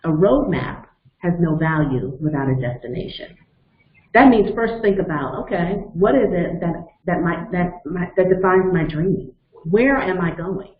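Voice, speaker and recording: A woman's voice: lowish voice, expressive, measured pace. A woman in her fifties leading a motivational webinar, explaining step by step and telling personal stories in an earnest way. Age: 50s. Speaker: webinar facilitator and coach. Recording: echoey, phone-like narrow sound.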